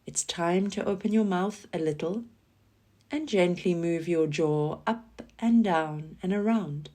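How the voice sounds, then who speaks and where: A woman leading a scripted guided relaxation meditation in a calm, soothing manner, giving gentle instructions with pauses. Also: fairly low pitch. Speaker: yoga nidra guide.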